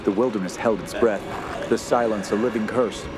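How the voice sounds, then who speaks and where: A man's voice: lowish voice, steady tone, moderate pace, natural voice. An actor playing a haunted young man who narrates a horror radio drama in solemn, clipped phrases. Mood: horrified. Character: haunted young nephew recounting wilderness terror. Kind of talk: scripted first-person horror radio narration. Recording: loud dramatic music behind.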